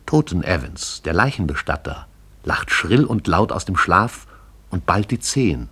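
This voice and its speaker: A man narrating a radio play in a lyrical, measured way. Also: deep voice, big pitch swings, sharp tone, husky. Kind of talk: poetic radio drama narration.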